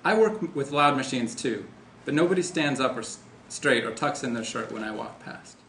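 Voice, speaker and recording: A man's voice: medium pitch, moderate pace, creaky, a bit bright. A man reading a first-person short story aloud as an author. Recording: echoey room, faint background noise.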